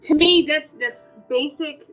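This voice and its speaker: A woman's voice: high-pitched, measured pace, a bit bright. A woman with an American accent chatting casually and emphatically as a vlog co-host, repeating her points for stress. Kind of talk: casual opinionated chat. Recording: dull lo-fi audio, music underneath.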